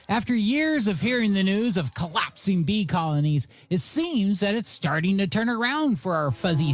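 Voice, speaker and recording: A man working as a music radio DJ, giving showy announcer patter with drawn-out phrase endings and playful, wry asides. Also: high-pitched. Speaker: radio DJ and host. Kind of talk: music radio DJ patter, announcing songs and reading light news stories. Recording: radio broadcast with music underneath. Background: music bed.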